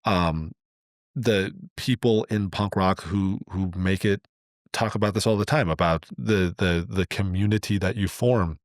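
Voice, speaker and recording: A middle-aged man in casual, reflective podcast conversation, earnest and a little halting as he thinks aloud. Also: deep voice, dry recording, studio quality. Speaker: podcast host.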